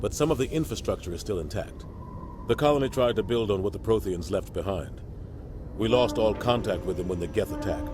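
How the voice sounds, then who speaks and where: An actor playing a grave, seasoned middle-aged military captain in a video game, deliberate and advising. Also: male voice, fairly low pitch, expressive, background noise, natural voice. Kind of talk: video game cutscene acting. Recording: game music behind the voice.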